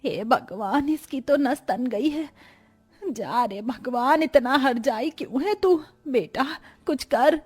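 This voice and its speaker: A woman's voice: sits high, animated, takes it slowly, breathy edge, exaggerated voice. A woman voicing a poor, long-suffering, plaintive family woman in a cartoon story. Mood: lamenting. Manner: plaintive, lamenting. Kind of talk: Hindi cartoon story acting.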